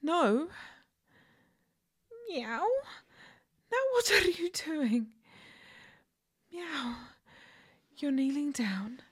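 A woman voicing a playful young catgirl who teases in breathy whispers, meows and purring growls. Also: sits high, lively intonation, very slow, pauses often, heavily acted. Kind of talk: solo audio roleplay.

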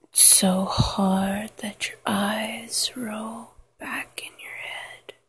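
A woman whispering an intimate, sensual erotic monologue to the listener in a breathy, drawn-out way. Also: mid-range voice, level tone. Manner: slow, sensual, drawn-out delivery with soft moans.

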